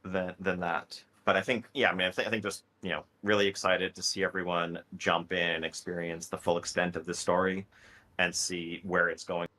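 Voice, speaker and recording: A man's voice: sits low, little pitch movement, moderate pace, bright, forceful tone, slight background noise. A man, a game developer, answering an interview question in an upbeat, enthusiastic way.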